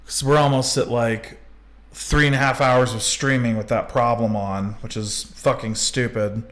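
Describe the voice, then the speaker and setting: A man streaming live in a relaxed, offhand way, at a middling pitch for a man. He talks straight to his viewers as he works and lets a curse slip when something annoys him. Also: normal speed. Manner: casual, swears when annoyed, talks to chat. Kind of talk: casual live-stream chat while working. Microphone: desk microphone.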